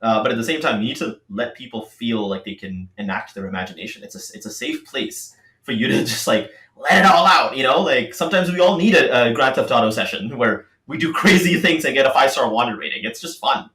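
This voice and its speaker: A man's voice: mid-range voice, lively intonation, fairly quick, pushed and bright, echoey room. A man from Singapore, a startup co-founder, talking casually and animatedly on a podcast with many fillers.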